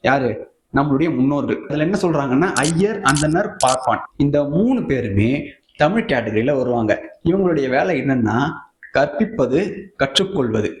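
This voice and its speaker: A man's voice: mid-range voice, animated, moderate pace, slight background noise. Combative Tamil commentator man, echoey room. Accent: Tamil Nadu Tamil. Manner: combative, scornful, colloquial. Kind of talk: opinionated political commentary to viewers.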